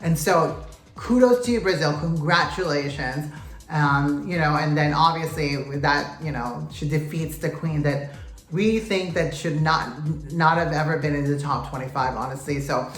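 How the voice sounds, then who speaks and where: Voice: medium pitch, normal speed. A pageant vlogger giving a casual recap to camera, sassy and gossipy, often calling out to viewers as "you guys" and "queens". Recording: roomy echo, faint music.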